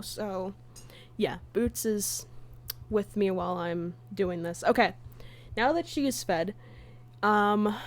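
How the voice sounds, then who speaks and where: A woman streamer talks in a casual, informal way to her viewers. Her voice sits at an ordinary female pitch, and she speaks as if chatting through a livestream. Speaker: streamer, fan comic dub creator.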